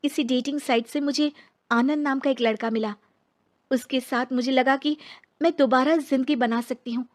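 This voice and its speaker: Woman playing high-voiced, wronged divorcee. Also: fairly even intonation, moderate pace, lightly acted. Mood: aggrieved.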